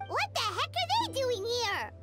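A woman voicing a tiny, excitable, bossy fairy girl in an anime video game, with a squeaky childlike voice and exclamatory manner. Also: unhurried, full character voice. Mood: surprised, curious. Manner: exclamatory, speaks of herself by name. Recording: music playing behind the voice.

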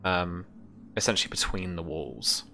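Male game master, low, bright edge, deliberate, halting. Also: creaky, faint music behind. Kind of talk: narrating a tabletop game.

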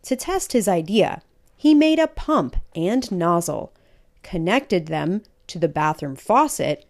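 A woman reading a children's picture book aloud in an expressive, emphatic storytelling manner. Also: on the low side, takes it slowly.

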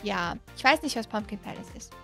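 A woman in her twenties hosting a gaming video with excited, casual reaction commentary, gushing and often repeating her words, recorded on a close studio microphone. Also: mid-range voice, brisk, creaky, faint music behind. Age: 20s. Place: small indoor streaming room. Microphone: close studio microphone on a boom arm.

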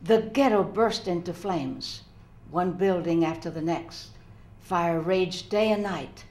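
A woman's voice: lowish voice, takes it slowly, a little mellow. An older woman in a dramatic reading, playing a somber survivor of an uprising.

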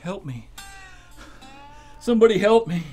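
A man acting in a radio drama as a terrified, pleading hostage, halting and panicky. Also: sits high, expressive, darkish tone, faint music behind. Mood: desperate. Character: terrified, pleading male lawyer held at gunpoint.

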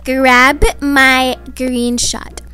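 A young woman acting out a children's roleplay story in a gentle, reassuring, sing-song way. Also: sits high, flat delivery, very slow, clean tone, faint music behind. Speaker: video creator voicing characters.

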